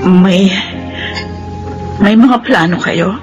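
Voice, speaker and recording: An actress playing a wronged, pregnant young wife in a radio drama, proud and hurt. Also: female voice, mid-range voice, extremely slow, muffled recording, over music. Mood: tense, guarded. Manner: pleading, turns to crying shouts.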